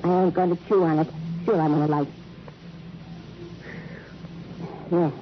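A woman's voice: on the low side, fairly even intonation, fast talker, natural voice. A woman playing a cagey, slangy, streetwise proprietress of a cheap hotel in a classic radio crime drama. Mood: grumbling. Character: brassy, evasive skid row hotel proprietress. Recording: dull old radio recording, faint noise.

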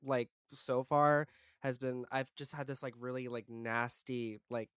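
A man's voice: medium pitch, steady tone, measured pace, a bit bright, clean tone, no room sound, studio quality. A young man with a congested voice, chatting casually as a podcast co-host and rambling with lots of "like" and "um.". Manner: rambling, heavy on "like" and "um". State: congested from illness. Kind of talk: casual chat.

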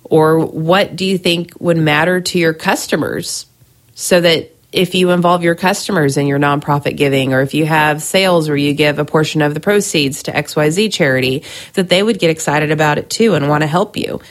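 Woman host, low voice, chatty solo podcast, recovering from a cold. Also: moderate pace. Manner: chatty, frequent ums and restarts. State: recovering from a cold, scratchy throat. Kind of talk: casual solo podcast advice.

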